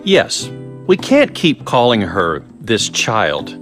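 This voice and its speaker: Video game research director, male: measured, condescending, slyly menacing, very expressive swings in pitch, faint music behind. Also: full character voice. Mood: musing, pleased. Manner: measured, condescending, sly politeness. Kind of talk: English video game voice acting.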